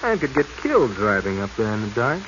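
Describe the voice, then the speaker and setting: A man's voice: lowish voice, sing-song, fairly quick. An actor playing a nervous, scheming male treasure hunter in 1940s radio style, evasive and bossy, prone to stammering. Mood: urging. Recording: muffled, hissy 1940s radio recording.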